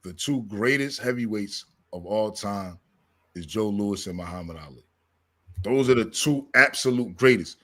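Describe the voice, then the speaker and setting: A man's voice: fairly low pitch, expressive, moderate pace, pushed and bright, no echo. A man hosting a casual sports debate, talking in an emphatic, repetitive, slangy way. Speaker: boxing show host.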